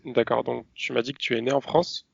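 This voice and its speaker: A man's voice: mid-range voice, steady tone, fairly quick, gravelly, slightly rough, lo-fi sound. A man hosting a podcast, putting questions to his guest in a conversational way. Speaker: podcast host. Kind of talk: conversational interviewing.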